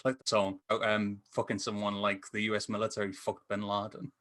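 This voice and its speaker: A man's voice: lowish voice, little pitch movement, a little fast, fairly bright tone, slight background noise. A man co-hosting a film podcast over a video call, rambling enthusiastically with a stop-start delivery in casual discussion. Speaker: podcast co-host and film reviewer. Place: indoor room with posters.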